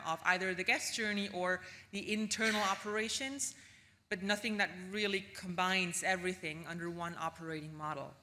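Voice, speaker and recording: Woman, keynote speaker, bright clear tone, relaxed chatty delivery full of ums. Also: medium pitch. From Austria. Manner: casual, chatty delivery with frequent ums and tag questions. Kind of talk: conference keynote presentation.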